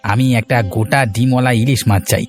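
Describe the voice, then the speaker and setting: A man's voice: mid-range voice, fairly even intonation, fast, faint music behind, natural voice. A man voicing a stubborn, threatening village bully in a cartoon, domineering and insistent. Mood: menacing, demanding. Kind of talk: Bengali cartoon villain acting.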